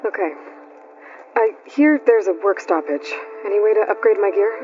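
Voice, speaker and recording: A blunt, wry young huntress in a video game, voiced by an actress. She speaks directly and drily, with resolute impatience. The audio is a bit dull and has music playing clearly underneath. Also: medium pitch, expressive, moderate pace, darkish tone, lightly acted. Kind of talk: video game cutscene acting. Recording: muffled game capture with music.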